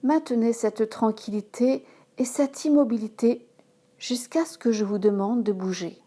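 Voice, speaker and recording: A woman yoga instructor leading a guided relaxation in a calm, measured manner, giving instructions in short phrases. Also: on the high side. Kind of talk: slow guided relaxation meditation.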